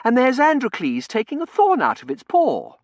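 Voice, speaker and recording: A man's voice: lively intonation, moderate pace, dry recording. A man voicing a spoiled, petulant young lady in an audiobook, indignant and imperious. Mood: outraged. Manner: shrill, indignant, imperious, gushing. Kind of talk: audiobook character voice.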